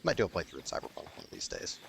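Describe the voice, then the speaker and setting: A man's voice: low-pitched, huge pitch swings, very fast, gravelly, a bit bright, a little hiss or hum. A man hosting a gaming video with casual, rambling, mildly profane unscripted commentary.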